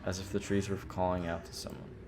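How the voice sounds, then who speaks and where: A man's voice: sits low, level tone, fairly quick. A man streaming a visual novel, reading its horror narration aloud expressively and dropping in casual asides. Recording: loud music under the voice. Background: game music.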